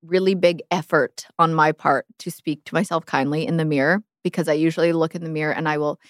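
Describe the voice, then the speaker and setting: A woman's voice: deep voice, a little fast, no echo, studio quality. A woman in her thirties, a podcast guest, talking candidly and with animation, often poking fun at herself. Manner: candid, animated, self-deprecating. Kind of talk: casual chat.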